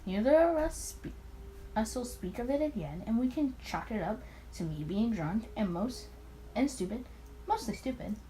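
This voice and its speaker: A woman's voice: a little high, lively intonation, moderate pace, dark tone, a little hiss or hum, natural voice, poor audio. A woman voicing a teasing, affectionate young male detective partner in a visual novel. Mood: apologetic. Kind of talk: visual novel character dialogue.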